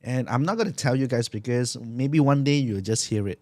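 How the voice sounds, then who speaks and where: A man co-hosting a podcast, easygoing in casual chat and often trailing off quietly. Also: medium pitch, fairly quick, no echo, clean studio sound. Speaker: podcast co-host.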